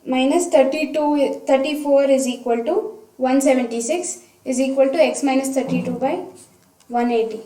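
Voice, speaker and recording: A woman's voice: sits high, moderate pace, dark tone. A woman teacher methodically explaining a worked problem step by step, talking through each stage aloud. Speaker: science teacher. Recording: roomy echo, distant microphone.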